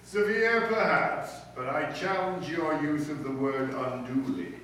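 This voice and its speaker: A man playing a stern, pompous, middle-aged high school principal with formal diction in old-time radio comedy style. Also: a little slow, fairly bright tone. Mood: self-important. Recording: echoey room from far off, faint noise.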